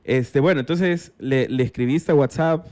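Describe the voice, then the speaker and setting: A male podcast host with a mid-range voice. He leads the conversation with curious questions, often backing them with a quick "ujú" or "claro". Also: medium pitch, a little mellow. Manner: curious, prompting with short acknowledgments. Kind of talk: casual interview.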